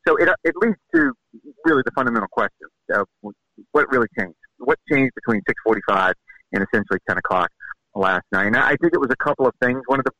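A man reports from the scene in a quick, bright, pressing voice, laying things out step by step. The sound is dry and close. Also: mid-range voice, sing-song, no room sound, poor audio. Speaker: golf reporter on site. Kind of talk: rapid on-air report.

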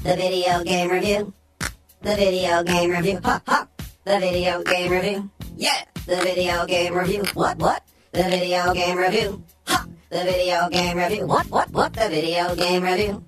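A woman's voice: lowish voice, flat delivery, normal speed, soft background music. Hyped woman chanting an intro. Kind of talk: show intro jingle.

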